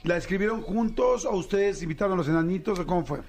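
High-pitched chatty Mexican host. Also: male voice, expressive, fast, a little mellow. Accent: Mexican Spanish. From Mexico City. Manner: chatty, enthusiastic, slangy. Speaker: podcast host. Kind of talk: casual radio interview.

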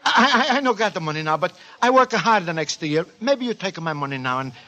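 An actor playing a humble, earnest Italian immigrant shopkeeper with an Italian accent and broken English, in 1940s radio style. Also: male voice, high-pitched, animated, a little fast, sharp tone, lo-fi sound. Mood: humble, pleading. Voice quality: broken English with added vowels. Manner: earnest, guileless. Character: warm, earnest Italian immigrant antique dealer, humble and proud. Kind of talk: 1940s radio comedy-drama acting.

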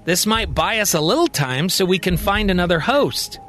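A decisive, practical woman in a science-fiction audio drama, played by a male storyteller. The voice is raised a little and the manner is brisk, over background music. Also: high-pitched, expressive, a bit bright, pitched above the performer's own voice. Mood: reluctant resolve.

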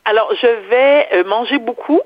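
A woman's voice: fairly high pitch, moderate pace, no room sound. A woman with a Quebec French accent speaking assertively as a radio columnist, chatting casually and repeating phrases for emphasis. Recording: dull, lo-fi phone or remote link.

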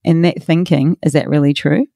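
A middle-aged woman hosts a motivational podcast, her voice raspy and hoarse. She talks in an earnest, encouraging way, her tone mellow and warm. The recording is dry and close, with no room sound. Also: sits low, steady tone, moderate pace, dry recording. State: hoarse from a workshop. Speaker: podcast host and coach.

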